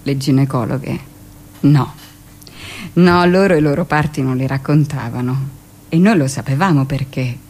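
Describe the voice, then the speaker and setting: A brusque middle-aged midwife, confiding. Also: female voice, extremely low pitch, darkish tone. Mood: confiding, reflective. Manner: conversational, dramatic pauses, shifts into mimicked speech. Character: 45-year-old hospital midwife, brusque, gossipy, recalling her past. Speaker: actress in a radio monologue. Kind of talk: theatrical first-person monologue, confiding storytelling.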